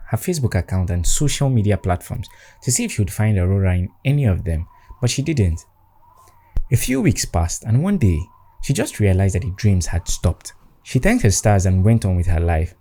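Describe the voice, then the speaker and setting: A man's voice: low-pitched, mellow. A man narrating a scripted spooky story in a dramatic storytelling manner. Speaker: storyteller, host.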